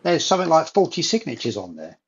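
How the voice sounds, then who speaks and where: A man's voice: fairly high pitch, sing-song, fast, creaky. Man chatting casually through dull lo-fi audio. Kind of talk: casual chat.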